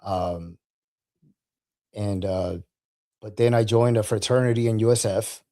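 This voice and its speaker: A man's voice: on the low side, fairly even intonation, a little slow, dark tone. A man speaking as a military veteran and podcast guest, telling personal stories in a casual, conversational way.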